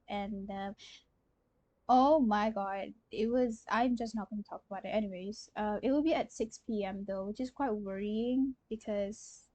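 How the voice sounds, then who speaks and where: A woman's voice: medium pitch, a little mellow, no room sound. A young woman chatting casually on a game livestream, hesitant and rambling, often trailing off. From Malaysia. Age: young adult. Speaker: game streamer. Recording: game music behind the voice.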